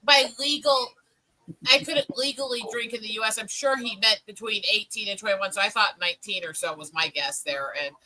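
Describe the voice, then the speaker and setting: Bright-voiced sarcastic woman in her forties. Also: medium pitch, a little fast, low-quality recording. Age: 40s. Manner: snarky, sarcastic, talkative. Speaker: participant in an online group chat. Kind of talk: casual chat.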